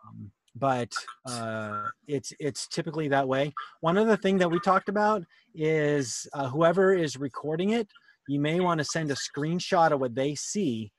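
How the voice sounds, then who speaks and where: A man's voice: a little high, expressive, moderate pace, no echo. A man presenting a workshop on a video call, casually explaining things and answering questions. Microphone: computer mic on a video call.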